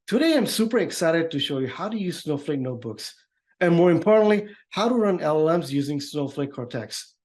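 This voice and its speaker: A man giving an informal, upbeat software demo walkthrough as a developer advocate. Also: fairly high pitch, expressive, a little fast. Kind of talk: tech demo walkthrough, semi-scripted.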